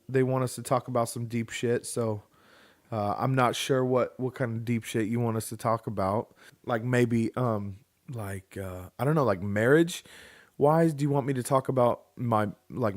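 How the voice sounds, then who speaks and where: A man in his thirties co-hosting a relaxed home podcast. He rambles, circling back with fillers and restarts as he reads out listener questions. His voice sits at an ordinary middle pitch. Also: normal speed. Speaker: podcast co-host. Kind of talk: casual chat.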